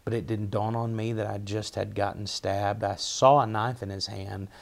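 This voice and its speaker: A middle-aged man with an Appalachian accent, telling personal stories as a guest in an interview, halting and reflective, often repeating words. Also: fairly low pitch, level tone, gravelly. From southwest Virginia, United States. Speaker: pastor, podcast guest.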